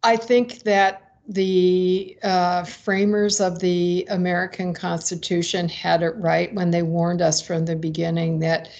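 A woman's voice: on the low side, gravelly, darkish tone. A woman speaking as an expert guest in an interview, explaining in an articulate, argumentative way and stressing her key points.

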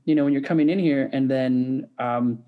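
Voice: medium pitch, steady tone, moderate pace, phone-quality sound. A podcast co-host asking a guest questions in a loose, rambling way, with many restarts. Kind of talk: casual interview chat.

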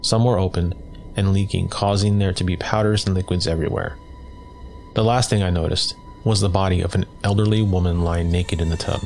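A man reading a first-person scary story as a scripted narration, in a measured, matter-of-fact way. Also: deep voice, steady tone, darkish tone, faint music behind. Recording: clear background noise.

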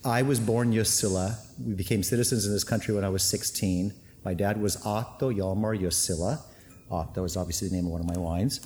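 A very low-pitched middle-aged man, a Finnish native speaker raised in Canada, talking casually about his winery. He rambles with many ums and restarts. The sound rings with a long echo from a big tank room, with a faint hum behind. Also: brisk, darkish tone. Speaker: winery owner and winemaker. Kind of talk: casual interview chat. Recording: long reverb, faint ambience.

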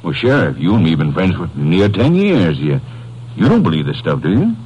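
A desperate, evasive father in a 1950s radio drama. His husky voice swings widely in pitch as he stammers and pleads in folksy phrases. The old radio recording frames him. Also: very animated, moderate pace, darkish tone, exaggerated voice, rough recording. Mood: bewildered, stammering. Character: anxious retired oilman, widowed father, evasive and desperate.

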